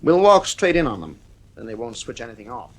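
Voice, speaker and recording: A man acts as a stern father in an audio drama, worried and losing his authority. His mid-pitched voice moves up and down a lot. He sounds firm, exasperated and anxious. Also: very animated, a little fast, natural voice. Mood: worried, businesslike.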